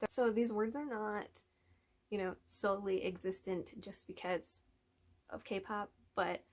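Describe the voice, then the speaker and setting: A woman's voice: medium pitch, gravelly. A young woman casually explaining words to her channel viewers, chatty and full of hedges and restarts. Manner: chatty, hedging with many "like"s and restarts. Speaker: YouTuber.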